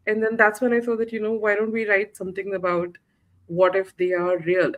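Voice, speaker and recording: A woman's voice: fairly low pitch, fairly bright tone. Hesitant woman author on a video call. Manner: hesitant, many fillers and restarts. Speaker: guest author. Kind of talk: casual interview chat.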